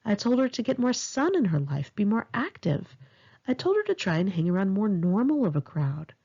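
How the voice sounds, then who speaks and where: American female memoir narrator, lively pitch movement, gravelly edge, confessional delivery, slightly dull home recording. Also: moderate pace. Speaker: author reading own memoir. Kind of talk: audiobook narration of a first-person memoir.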